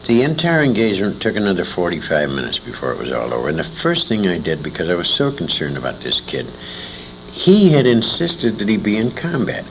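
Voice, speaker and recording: An older man, a war veteran being interviewed, tells anecdotes in an emphatic, salty, halting way, acting out the dialogue. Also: sits low, wildly swooping pitch. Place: indoor interview at a convention. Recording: noisy amateur interview tape with room ambience.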